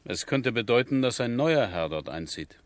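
An actor playing a sober, dutiful army major who speaks in measured, weighty phrases in a 1970s German radio drama. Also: male voice, mid-range voice, animated, lightly acted. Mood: concerned.

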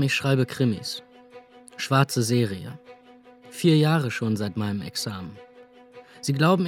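An actor as a dry young would-be writer. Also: male voice, mid-range voice, natural voice. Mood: wry, confessional. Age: young adult. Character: drifting young would-be crime writer, dryly confessional. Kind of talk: radio drama monologue.

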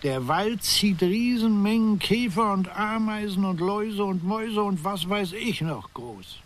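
An actor in a radio drama playing a courteous, diffident settler who speaks haltingly and searches for words. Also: male voice, high-pitched, lively intonation. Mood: hesitant, persuading. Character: Ed, diffident, courteous settler planning farmland, deferential. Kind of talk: naturalistic German radio drama acting.

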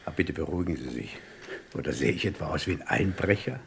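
Gravelly, suave gangster boss. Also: male voice, a bass voice, lively intonation, a little hiss or hum, exaggerated voice. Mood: mockingly polite. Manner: ironically polite, smooth-talking, unhurried menace. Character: suave menacing male gangster boss, mockingly courteous. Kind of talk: German radio crime play acting.

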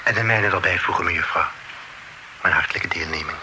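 An actor playing a fussy, formal gentleman in an old radio play, pompous and prone to flustered stammering. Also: low voice, brisk, noisy background, natural voice. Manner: pompous, stammering, clears throat. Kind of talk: old radio drama acting.

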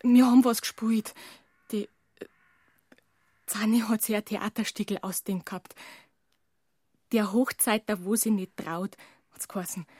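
An actress playing a timid, frightened young village maid with a Bavarian accent, speaking haltingly in a radio crime drama. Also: female voice, mid-range voice, steady tone, mellow, no room sound, close to an everyday voice. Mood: hesitant, confiding. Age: young adult. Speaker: farm maid, witness.

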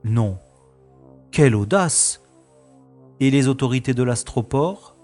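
A man's voice: mid-range voice, animated, slow pace, darkish tone, soft background music. A man acting a bored, cynical special agent in a science-fiction audio drama, with sardonic, world-weary complaining. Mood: curious, wry.

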